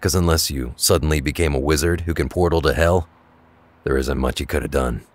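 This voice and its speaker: Man playing extremely deep, gruff tough-guy hero. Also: a little fast. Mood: gruff sympathy. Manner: gruff, casual, sneering. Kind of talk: audio drama acting.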